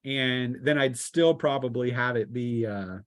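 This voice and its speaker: A man with an average-pitched voice acts as game master at a tabletop game. He narrates casually and jokes and exclaims as he goes. The sound is dry, with little room in it. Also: unhurried, no room sound. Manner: informal, jokey, exclaiming.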